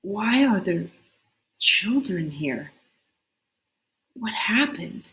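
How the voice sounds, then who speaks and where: A woman voicing a dazed noblewoman in a storybook, speaking in a soft, breathy murmur. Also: medium pitch, sing-song, drawn-out words, heavily acted. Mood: dazed, questioning. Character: beautiful noble lady rider, dazed and murmuring. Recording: roomy, echoing indoor sound.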